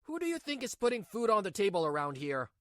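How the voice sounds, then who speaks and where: A woman's voice: mid-range voice, sing-song, quick pace, no echo, clean studio sound, lightly acted. A woman voicing a selfish, irritable cheating husband with a dismissive manner in a manga dub. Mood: irritated, defensive. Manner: dismissive, snappy when challenged. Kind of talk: manga dub character acting.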